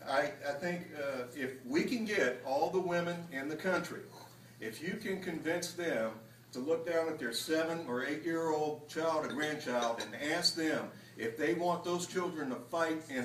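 A middle-aged man making an informal, conversational comment from the audience, somewhat halting. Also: a little high, a bit bright. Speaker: audience member at a campaign event. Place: hotel banquet room, indoors. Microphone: handheld microphone, recorded from across room. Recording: noisy echoey room from far off, crowd.

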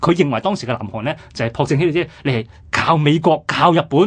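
Husky, fast-talking Hong Kong Cantonese man. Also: fairly high pitch. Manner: colloquial, explanatory, rhetorical questions. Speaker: radio history commentator. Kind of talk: conversational history explanation.

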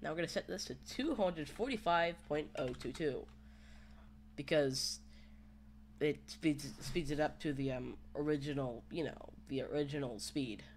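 Hesitant man with a slightly high voice. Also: moderate pace. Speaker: tutorial host. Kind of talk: casual screen-recorded software tutorial. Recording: home microphone with background noise.

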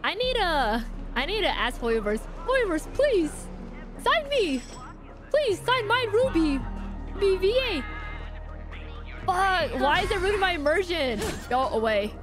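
A woman streamer with a high, lively voice reacts casually to a game. She jokes and swears freely, with faint music behind her. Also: measured pace. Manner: playful, joking, swears freely. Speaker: live streamer.

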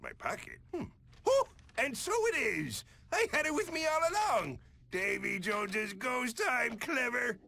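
Gravelly blustering cartoon crab boss. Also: male voice, very high-pitched, big pitch swings, measured pace, bright, forceful tone, heavily acted. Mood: sheepish. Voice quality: gravelly, growling. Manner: blustering, salty sailor-like speech. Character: greedy blustering old crab boss with pirate talk. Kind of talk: cartoon video game voice acting. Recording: clear background noise under voice.

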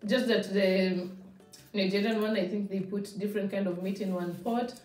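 A woman's voice: mid-range voice, moderate pace, echoey room, far from the mic, soft background music, low-quality recording. A young woman, chatting casually and laughing as she tastes food at home. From Kenya. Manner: relaxed, chatty, laughs often. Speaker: vlogger. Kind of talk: casual food-tasting chat. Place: home dining room, seated at a table.

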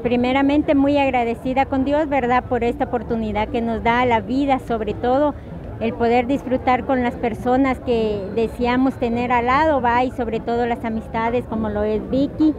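High-voiced Guatemalan woman in crowd. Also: steady tone, normal speed. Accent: Guatemalan Spanish. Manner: warm, grateful, sending blessings and greetings. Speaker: interviewee. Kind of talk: casual street interview. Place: busy city square outdoors. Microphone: clip-on mic, phone camera close. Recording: slightly dull sound, loud crowd behind. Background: crowd chatter.

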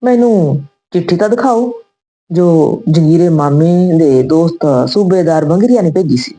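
A woman's voice: on the low side, expressive, a little slow, warm tone, rough recording. A woman voicing a caring, respectful younger soldier nephew in a told story. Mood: reassuring. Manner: respectful, encouraging.

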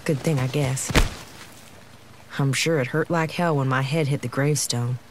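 A woman's voice: rumbling low, steady tone, normal speed, background noise. An actress playing a confused young woman left for dead, narrating her story in a casual, wry, hesitant way for an audio drama. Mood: wry, dazed. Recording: dramatic music behind the voice.

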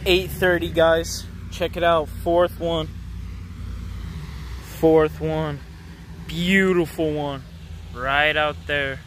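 A man's voice: on the high side, fairly even intonation, extremely slow, long pauses, a bit bright, a little hiss or hum. A young man casually vlogging his fishing catches to viewers, talking into a handheld phone outdoors. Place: outdoors at a city pond edge. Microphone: phone camera held in hand.

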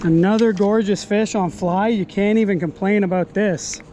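High-voiced excited man wading a river. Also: normal speed, a little hiss or hum. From Canada. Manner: excited, exclaiming over each catch. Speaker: fly-fishing angler and host. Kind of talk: casual outdoor vlog commentary. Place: outdoors, wading in a wide river. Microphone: body-worn action camera.

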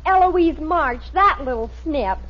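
An actress playing a melodramatic, indignant teenage daughter in a 1950s radio sitcom. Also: female voice, sits high, lively intonation, measured pace. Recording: muffled, noisy vintage radio recording.

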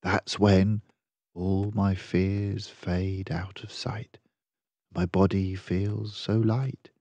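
Slow-paced older man reading to children. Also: low-pitched, fairly even intonation, darkish tone, no echo, lo-fi sound. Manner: measured, deliberate, rhyming delivery. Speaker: storyteller reading a children's picture book. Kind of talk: expressive scripted read-aloud for children.

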